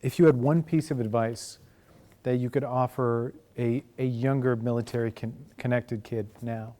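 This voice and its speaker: An interviewer with a dark, mellow tone asks short, gentle questions in a mid-pitched voice. The delivery is calm and inquisitive. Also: moderate pace.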